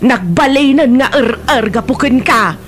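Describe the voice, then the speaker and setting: A woman's voice: high-pitched, animated, a little slow, slight background noise. A woman playing a worried, nagging mother of a wayward son in a radio drama, with an Ilocano accent. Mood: angry, worried. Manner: nagging, reproachful.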